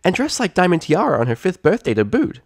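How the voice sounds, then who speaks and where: Man putting on a squeaky, perky little filly. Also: huge pitch swings, fast talker, heavily acted. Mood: teasing. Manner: chirpy, squeaky. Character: sweet, perky, naive little unicorn filly.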